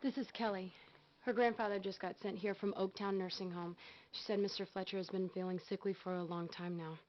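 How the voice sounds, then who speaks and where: A woman's voice: mid-range voice, fairly even intonation, a little fast, close to an everyday voice. Practical ER nurse, muffled audio woman acting. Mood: concerned, matter-of-fact. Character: practical, no-nonsense female emergency room nurse. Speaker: emergency room nurse. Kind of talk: acted medical drama dialogue. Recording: dull game video, faint noise.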